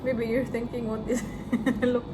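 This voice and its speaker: A woman's voice: fairly high pitch, little pitch movement, moderate pace. A Filipino woman with a Filipino accent, chatting casually to her viewers in a travel vlog, laughing often. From Philippines. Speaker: vlogger. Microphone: phone camera. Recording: street noise behind.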